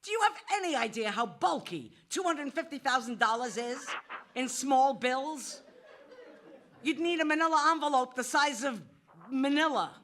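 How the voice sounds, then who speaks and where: Bright high voice, lively and sarcastic, exasperated banker lady, as an actress plays her. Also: moderate pace, slight background noise. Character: sharp-tongued New York woman banker, exasperated. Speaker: actor in a live audio play. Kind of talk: live stage audio drama.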